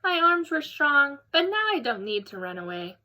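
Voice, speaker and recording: Woman as a wise, bright-voiced old grandpa bear. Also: high-pitched, big pitch swings, pitched above the performer's own voice, full character voice. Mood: reassuring. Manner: gentle, unhurried, proudly reassuring. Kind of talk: children's storybook read-aloud.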